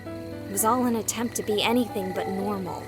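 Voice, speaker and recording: A woman's voice: medium pitch, normal speed, close to an everyday voice. A woman voicing a candid, wry young woman in a visual novel, conversational and a little guarded. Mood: candid, reflective. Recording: music and night insects behind. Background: music, crickets and frogs.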